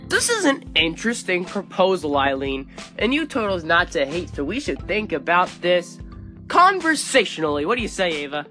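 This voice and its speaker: A woman's voice: on the low side, sing-song, moderate pace, pushed and bright, faint music behind. Playful young woman hosting a fan podcast. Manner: playful, silly, giggly. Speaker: podcast host. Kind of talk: goofy comedy podcast banter.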